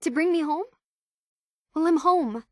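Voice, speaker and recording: A woman's voice: extremely high pitch, measured pace, dry recording, studio quality. An actress playing an earnest, anxious and curious young runaway woman, speaking hesitant, thoughtful dialogue for a video game. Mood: pleading, apologetic.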